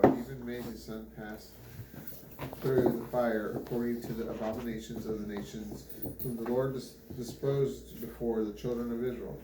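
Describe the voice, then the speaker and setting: A man reads scripture aloud in a halting way, stumbling over words and repeating them. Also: medium pitch. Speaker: Bible reader. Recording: echoey room with clear background noise.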